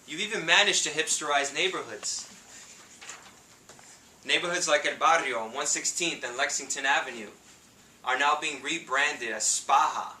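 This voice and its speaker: A man in his thirties with a New York City accent, giving a humorous contest speech with punchy timing and pauses for laughs. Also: fairly high pitch, bright, forceful tone. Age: 30s. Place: meeting room with an audience. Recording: echoey room, distant mic, faint noise.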